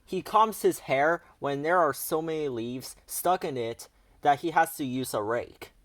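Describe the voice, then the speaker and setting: A man's voice: fairly high pitch, measured pace, fairly bright tone, dry recording. A man narrating a children's picture book aloud as a storyteller.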